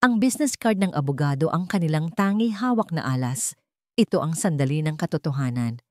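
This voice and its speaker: A woman's voice: sits low, expressive, a little fast, dry recording. A woman reading a scripted dramatic story as its narrator.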